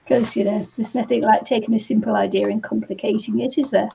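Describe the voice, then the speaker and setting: A woman with a New Zealand accent and a scratchy voice today, hosting a relaxed craft chat. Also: fairly high pitch, gravelly. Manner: slow, relaxed, laughs between phrases. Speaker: craft channel host.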